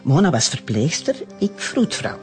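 An actress playing a very old, sharp and candid Flemish nun in a radio drama. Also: female voice, sits low, sing-song, normal speed, soft background music. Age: older.

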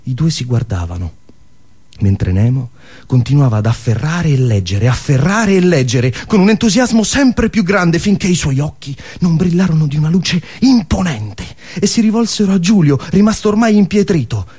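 A man narrating a scripted story theatrically, dramatic and emphatic, with staged pauses. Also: a little high, sing-song, dark tone, breathy edge.

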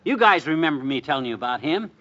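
High-pitched, excitable American radio husband. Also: male voice, quick pace. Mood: excited, boastful. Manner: folksy, blustering. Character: excitable, boastful husband, a First World War veteran. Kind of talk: 1950s radio comedy acting. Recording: muffled vintage radio recording.

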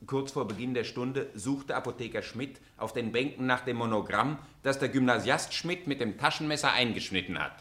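Male actor, roomy sound, bright voice, middle-aged, teasing, emphatic, radio drama. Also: medium pitch, normal speed, hardly any pauses, close to an everyday voice. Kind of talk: acted radio-play dialogue. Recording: roomy, echoing room sound.

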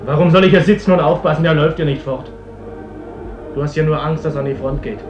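A man's voice: a little high, quick pace, faint music behind, lightly acted. A young actor playing a sullen, hot-headed fifteen-year-old fisher boy in a radio play. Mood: defiant, angry. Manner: sullen, defiant. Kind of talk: radio drama acting. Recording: muffled roomy old recording, faint hiss.

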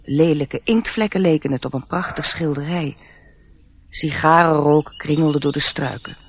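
A man's voice: fairly high pitch, normal speed, a little hiss or hum, lightly acted. A man narrating a radio play in a scripted, literary storytelling style.

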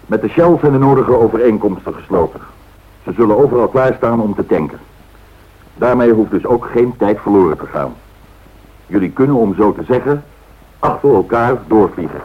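A man's voice: lowish voice, expressive, moderate pace, husky. An actor playing an authoritative male airline director in a radio drama, firm and businesslike. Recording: thin, phone-like old radio recording.

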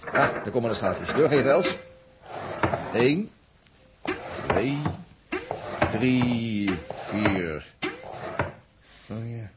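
A man's voice: medium pitch, animated, drawn-out words, long pauses. Practical spaceman, heavily noisy recording. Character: practical, eager male spaceship technician. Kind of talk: 1960s Dutch radio drama acting. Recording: very noisy old radio recording.

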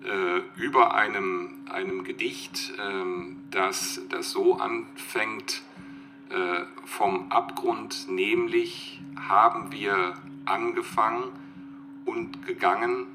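A man's voice: lowish voice, fairly even intonation, takes it slowly, poor audio. A man giving a reflective, unscripted explanation as a literary expert, searching for words and often repeating them. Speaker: literary expert being interviewed. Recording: music behind the voice.

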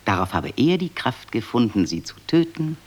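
A man's voice: medium pitch, very animated, moderate pace. An actor playing a knowing, grave male narrator in a radio drama, speaking with dramatic emphasis. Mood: knowing irony.

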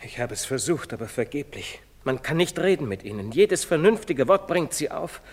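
An earnest priest in a stage play, solemn n pleading, voice rises and falls a lot, big echoey hall. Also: male voice, a little high, very animated, normal speed. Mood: exasperated. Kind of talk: theatrical stage-play acting. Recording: long reverberant hall sound.

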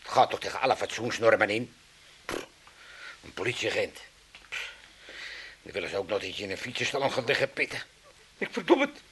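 Blustering old father, swooping pitch. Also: big pitch swings, fairly quick, pauses often, a bit bright, breathy edge, heavily acted. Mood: aggrieved. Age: older. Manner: blustering, theatrical, insulting. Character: cantankerous, self-pitying old father from a retirement home. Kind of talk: comic radio play acting.

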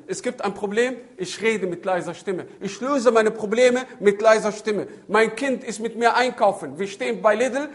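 A man, middle-aged, preaching a religious sermon in an animated storytelling way, acting out dialogues, repeating himself and asking rhetorical questions, with Arabic phrases mixed in. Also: high voice. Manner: code-switching into Arabic phrases. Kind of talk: religious sermon on raising children.

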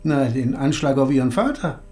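An actor playing a gruff, sardonic older police detective in a radio crime drama, wry, colloquial and commanding. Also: male voice, medium pitch, expressive, moderate pace, a little mellow, natural voice. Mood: dry, probing.

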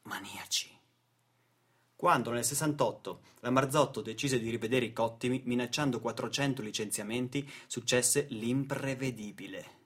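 A man's voice: mid-range voice, normal speed, fairly bright tone, breathy edge. A man, an actor-narrator delivering a theatrical political monologue in a dramatic, sardonic, emphatic way.

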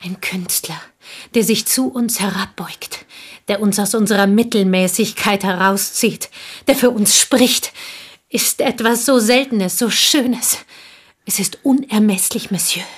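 A woman's voice: medium pitch, a little slow, husky. A woman playing an earnest, loyal confidante in a radio play, formal and respectful yet firm and reproving. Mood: earnest, admiring.

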